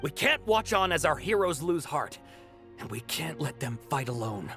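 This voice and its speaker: A young villager volunteering to fight in a video game. The voice is somewhat high and youthful, earnest and determined. Soft music plays behind it. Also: male voice, sing-song, moderate pace. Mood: firm resolve. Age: young adult.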